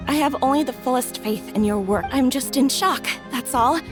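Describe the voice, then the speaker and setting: A woman's voice: high-pitched, normal speed, natural voice. A woman playing a sweet, overwhelmed young bride-to-be in an anime game, earnest and emotional. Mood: moved. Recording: background music throughout.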